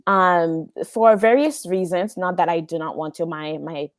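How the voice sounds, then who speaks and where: Low-voiced young woman from Haiti. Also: unhurried, no room sound, studio quality. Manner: polite, many ums. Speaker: guest. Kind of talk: casual interview.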